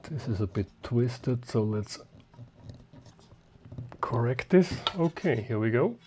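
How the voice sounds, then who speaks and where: A man with a mid-pitched voice narrates a hands-on repair at a very unhurried pace. He speaks in short, halting phrases, as if half to himself while his hands are busy. The delivery is unscripted, like a hobbyist showing his work step by step. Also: animated, noisy background. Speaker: hobbyist host of radio repair videos.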